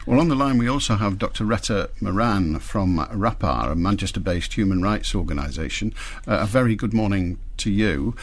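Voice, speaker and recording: Sex: male. Role: radio presenter. Style: live radio interview. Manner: animated, restarts questions. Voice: low and very lively. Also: moderate pace, hardly any pauses. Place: radio studio.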